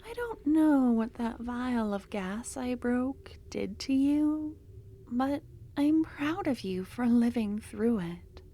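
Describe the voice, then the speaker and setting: A woman voicing an obsessive, possessive female cultist lover in an ASMR roleplay, talking softly and slipping into whispers, tender yet menacing. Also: fairly high pitch, lively intonation, slow, exaggerated voice. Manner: soft-spoken, drifting into whispers.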